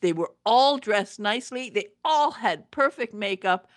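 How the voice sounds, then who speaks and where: A woman interviewing conversationally, with halting phrases and emphatic stress on key words. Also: mid-range voice, lively intonation, no echo, clean studio sound.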